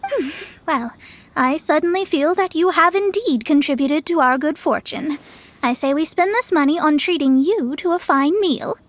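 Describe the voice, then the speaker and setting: A woman's voice: high-pitched, moderate pace, slight background noise, natural voice. A woman voicing a proud, haughty young female astrologer in a video game, lecturing and self-important. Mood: lofty.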